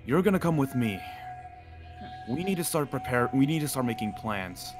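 A man's voice: medium pitch, sing-song, normal speed, music in the background, natural voice. A man playing a serious, commanding werewolf pack leader in a fantasy roleplay, speaking firmly and decisively. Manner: firm, decisive, grave. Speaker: roleplay performer. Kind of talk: improvised fantasy roleplay acting.

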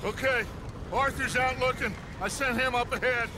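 A man playing a charismatic middle-aged American outlaw gang leader in a video game, speaking like an orator with emphatic pauses. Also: very high voice, steady tone, very noisy. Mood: urgent. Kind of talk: dramatic video game acting.

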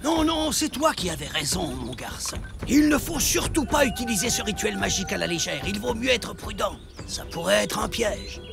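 An actor playing a wise, cautious old village elder, speaking in grave, measured warnings. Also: male voice, high voice, big pitch swings, gravelly, music in the background, heavily acted. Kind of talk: cartoon dub acting. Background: cartoon score.

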